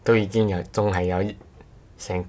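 A man with a very low voice and a Cantonese accent co-hosts a casual podcast. He talks in short, halting pieces, often circling back to rephrase. The delivery is conversational and unscripted. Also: level tone, dark tone. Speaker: podcast co-host. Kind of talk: casual chat.

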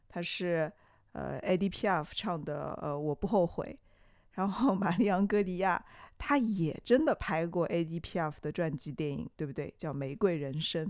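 A podcast host talks reflectively and conversationally about film, musing hesitantly with drawn-out pauses. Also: mid-range voice, a little mellow, dry recording, studio quality.

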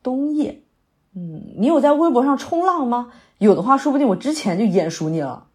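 A woman's voice: on the high side, big pitch swings, moderate pace, darkish tone. A woman acting a young, sentimental, theatre-loving new graduate in a naturalistic audio drama, speaking in a hesitant, conversational way. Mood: friendly, tentative. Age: young adult. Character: young woman fresh out of university, wandering, sentimental theatre lover.

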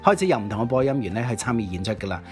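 A man's voice: mid-range voice, rapid-fire. A man with a Cantonese accent telling music history as a podcast host, chatty and full of rhetorical questions. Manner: animated explaining with rhetorical questions. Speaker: radio host and DJ. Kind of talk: conversational podcast storytelling about music history.

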